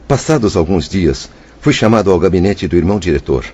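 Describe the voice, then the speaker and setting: A man's voice: low-pitched, expressive, fairly quick, mellow, slightly rough. An actor playing an anguished, repentant male spirit in a radio drama, plaintive and formal in his wording. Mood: moved, hopeful. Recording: muffled lo-fi audio with music. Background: background music.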